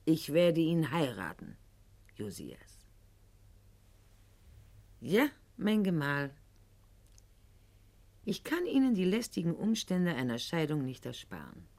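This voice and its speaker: A woman's voice: deep voice, sing-song, takes it slowly, long pauses, darkish tone, heavily acted. An actress playing a proud, calculating eighteenth-century noblewoman in a radio drama monologue, with formal, haughty and eloquent diction addressed to her husband. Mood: cool resolve.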